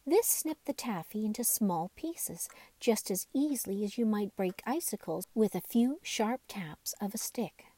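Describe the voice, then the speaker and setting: A woman's voice: mid-range voice, lively intonation, unhurried, hardly any pauses, warm tone, husky, no echo. A woman narrating a children's bedtime story from a script. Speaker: storyteller reading a children's book.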